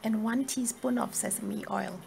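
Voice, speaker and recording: A woman reading a scripted cooking tutorial in a measured, step-by-step instructional manner. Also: medium pitch, creaky, a little mellow. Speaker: cooking video narrator. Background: music.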